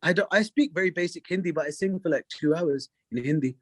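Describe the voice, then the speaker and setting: Guy chatting in an interview, kinda high voice, lots of ums and laughs, dry sound. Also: quick pace, dry recording. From England. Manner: animated storyteller, laughs often, many fillers. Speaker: guest singer. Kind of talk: casual interview chat.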